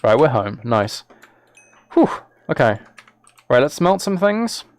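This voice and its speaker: A man's voice: medium pitch, very animated, takes it slowly, slight background noise. A man chatting casually on a live game stream, thinking aloud as he plays and replying to viewers.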